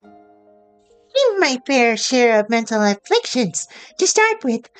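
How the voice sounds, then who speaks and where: Talking snake for a game: worldly, sly, high, melodic delivery over faint music. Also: female voice, very animated, slow. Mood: measured, advising. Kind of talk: video game voice acting.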